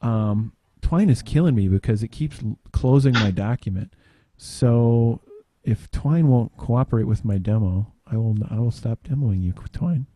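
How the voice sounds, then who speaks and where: A man giving an informal software demo, halting and tangential as he thinks aloud in casual talk. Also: medium pitch, animated, noisy background. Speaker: podcast panelist demonstrating software.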